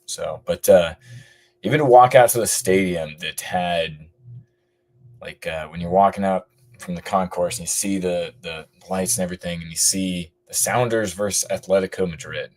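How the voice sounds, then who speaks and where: A hoarse man with a somewhat low voice calls in and talks in a loose, rambling way. He restarts his sentences often and fills the gaps with filler words, like an excited fan chatting. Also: moderate pace. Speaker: caller. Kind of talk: casual call-in chat.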